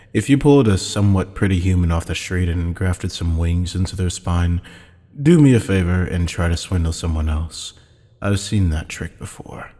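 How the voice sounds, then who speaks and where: A man playing a haughty, amused demon buyer in an audio roleplay, with a husky, sardonic, teasing drawl. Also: low voice, warm tone, heavily acted. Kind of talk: scripted audio roleplay acting. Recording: long hall-like reverb.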